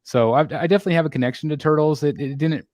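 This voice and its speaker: A man in his forties hosting a casual panel chat, relaxed and full of ums and restarts. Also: on the high side, very fast, dry recording, studio quality. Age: 40s. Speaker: host.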